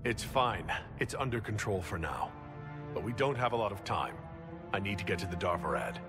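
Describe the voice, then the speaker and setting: A man's voice: fairly low pitch, animated, normal speed, music in the background, lightly acted. A man playing a resolute leader of an order in a video game, speaking directly and with determination. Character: resolute male military leader, head of an inquisition. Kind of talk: video game character acting.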